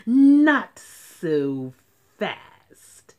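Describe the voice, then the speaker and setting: A woman's voice: mid-range voice, very animated, narrow, tinny audio. Woman acting a stern, drawling substitute teacher. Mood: menacing. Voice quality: hissing. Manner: slow, clipped, commanding.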